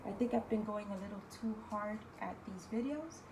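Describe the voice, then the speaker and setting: A woman with a New York accent hosting a casual spiritual talk as a tarot reader, chatty and often checking in with her viewers, her voice hoarse from overuse. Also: medium pitch, moderate pace, noisy background. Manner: chatty, keeps asking okay guys. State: losing her voice. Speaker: tarot reader and video host.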